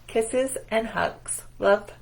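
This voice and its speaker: A woman voicing a proud, excited schoolgirl inventor in an animated storybook reading. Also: on the high side, extremely slow, creaky, heavily acted, poor audio.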